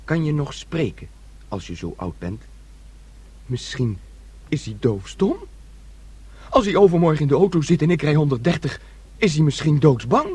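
A man acting in a radio drama as a naive, childlike son who dreams aloud in a rambling, excitable way. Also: on the high side, normal speed, long pauses, husky, full character voice. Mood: musing, excited.

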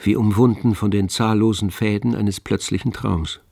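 A man narrating a radio drama as a wry, measured literary storyteller. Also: on the low side, steady tone, vocal fry, background noise. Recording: studio with faint string music behind.

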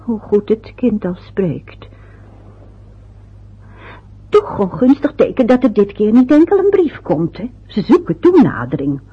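A woman's voice: medium pitch, sing-song, a little slow, long pauses, slightly rough, heavily acted. An actress playing a fussy, anxious older mother in a radio play, chattering and muttering to herself. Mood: fretful. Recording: dull lo-fi old radio recording.

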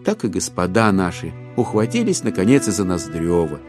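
A man narrating a classic satirical tale in a measured, ironic literary manner. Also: low voice, very animated, darkish tone. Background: music.

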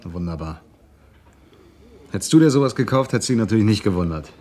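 An actor playing a prickly, withdrawn man in a radio drama, speaking in a terse, defensive, halting manner. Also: on the low side, expressive, heavy background noise, close to an everyday voice. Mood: bitter, irritated. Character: prickly, withdrawn, brooding man shutting out his friend.

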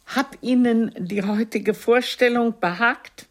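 A woman's voice: on the high side, takes it slowly. An older woman playing an imperious aging stage actress in a radio drama, haughty, theatrical and formal, with a biting, mocking tongue. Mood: proud, mocking.

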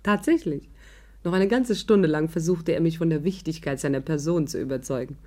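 A worldly young barmaid with a low voice. She is flippant and sardonic, teasing men coolly in the manner of a 1950s radio drama. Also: brisk, dark tone. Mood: wry narrating. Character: cool, mocking young barmaid playing a worldly gangster's girl. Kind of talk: 1950s German radio play acting.

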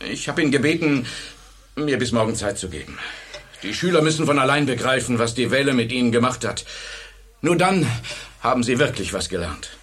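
A man's voice: medium pitch, lively intonation, a little fast, pauses often, fairly bright tone, slightly rough. An actor playing a driven, commanding male schoolteacher with a dictator's streak in a German radio drama. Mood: subdued, resolute.

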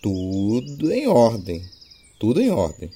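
A man's voice: mid-range voice, wildly swooping pitch, takes it slowly, dark tone, slightly rough. A Brazilian man talking informally and wryly as a podcast host, in a casual, lightly comic style. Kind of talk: casual podcast hosting with scripted comic skit.

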